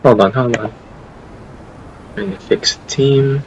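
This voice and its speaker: A man's voice: mid-range voice, big pitch swings, slow, creaky, muffled recording, a little hiss or hum. A man giving casual live commentary as he plays a game, offhand and talking to himself. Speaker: game streamer. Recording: game music behind the voice.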